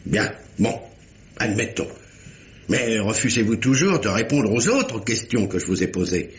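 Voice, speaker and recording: A man playing a stern examining magistrate in an old-style French radio mystery, formal, probing and deliberate. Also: on the low side, expressive. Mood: coaxing. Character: stern examining magistrate, paternal uncle, insistent and measured.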